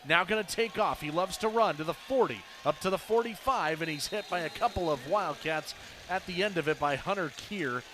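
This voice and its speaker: An American man calling football play-by-play on the radio in short, crisp announcer phrases. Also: sits high, fairly quick, noisy background.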